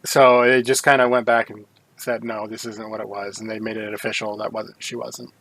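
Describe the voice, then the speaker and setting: A man co-hosting a casual gaming podcast, talking hesitantly with many restarts and quiet trailing off. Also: lowish voice, little pitch movement, brisk, poor audio. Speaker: podcast co-host.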